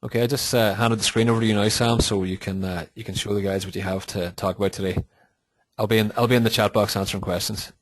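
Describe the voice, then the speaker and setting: A man hosting a live webinar, introducing his guest in a relaxed, plain conversational way over a computer mic. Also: lowish voice, quick pace, rough recording. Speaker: webinar host.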